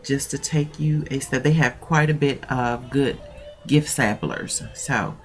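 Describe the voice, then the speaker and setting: A woman's voice: very deep voice, steady tone, a little slow, a little hiss or hum. Stuffy-nosed woman coach, chatty. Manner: chatty, rambling with fillers. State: stuffy nose. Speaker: mentoring coach. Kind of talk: casual talk and reading aloud.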